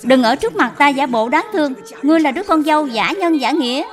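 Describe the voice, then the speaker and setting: A woman's voice: high voice, expressive, fast, over music. A woman voicing a bitter old mother-in-law in a cartoon dub, harsh and suspicious. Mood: furious scolding.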